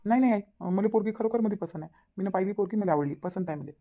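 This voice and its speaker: A woman's voice: mid-range voice, narrow, tinny audio, lightly acted. A woman voicing an impatient young adult son in a cartoon, speaking in a hurry with a lilt. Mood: agreeable. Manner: hurried, impatient. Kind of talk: cartoon voice acting.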